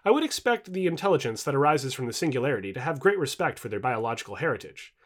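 A man's voice: mid-range voice, big pitch swings, fast. A man voicing a confident male futurist who patiently explains and corrects in an audio book dialogue.

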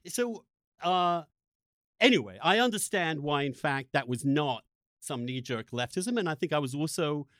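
Animated, higher-voiced man, earnest podcast host. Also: no echo, studio quality. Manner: halting and emphatic, breaks off and restarts sentences. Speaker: podcast host interviewing a guest. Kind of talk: reflective, earnest interview conversation.

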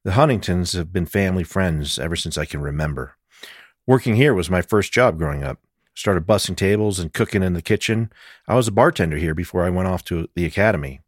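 A man's voice: deep voice, brisk, no echo, studio quality. A man voicing a tough but caring female police detective, matter-of-fact and dryly humorous, in a mystery audiobook. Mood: reflective.

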